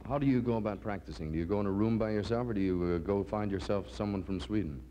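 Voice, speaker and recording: A man in his thirties hosting a 1960s television interview in a studio, holding a handheld mic, with brisk, genial questions. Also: lowish voice, warm tone. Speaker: TV show host. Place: TV studio with curtain backdrop. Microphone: handheld stick mic. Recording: lo-fi 1960s TV broadcast with light hiss.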